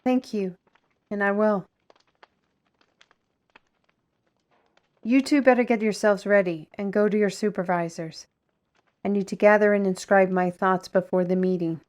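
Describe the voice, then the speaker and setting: A woman playing a proud, fiery, defiant artisan steward in a fantasy audio roleplay, formal and deliberate. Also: medium pitch, steady tone, a little mellow, lightly acted. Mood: firm.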